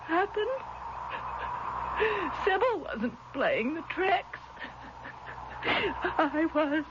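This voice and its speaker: Desperate, high-pitched guilt-stricken murderess. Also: female voice, very animated, drawn-out words, full character voice. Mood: hysterical, gloating. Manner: melodramatic, anguished. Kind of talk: 1970s radio drama acting. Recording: noisy, muffled vintage radio recording.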